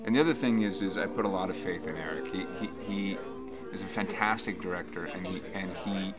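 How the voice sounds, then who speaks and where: A man's voice: low-pitched, brisk. A man in his forties, a stage actor, speaking reflectively in an interview and often repeating phrases as he goes. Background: music.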